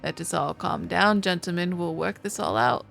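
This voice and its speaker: A woman voicing a calm male household servant in a visual novel, measured and reassuring. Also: on the low side, creaky, faint music behind, exaggerated voice.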